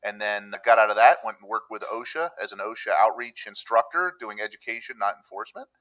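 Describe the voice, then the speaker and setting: Bright-voiced middle-aged man telling stories. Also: fairly low pitch, lively intonation, quick pace, rough recording. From Pennsylvania, USA. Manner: easygoing storytelling, acts out quoted voices. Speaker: public adjuster, podcast guest. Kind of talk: conversational interview. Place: room with a countryside backdrop.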